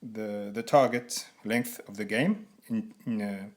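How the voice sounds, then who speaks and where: A man in his forties with a French accent, haltingly explaining a board game in non-native English as a reviewer. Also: lowish voice. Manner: halting, deliberately slow speech for learners.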